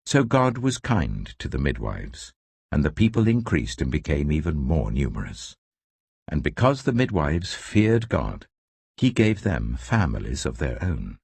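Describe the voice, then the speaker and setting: A man reading scripture as a dramatic scripted narration, telling the story in a measured, expressive way. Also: extremely low pitch, vocal fry, slightly rough, dry recording. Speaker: Bible narrator.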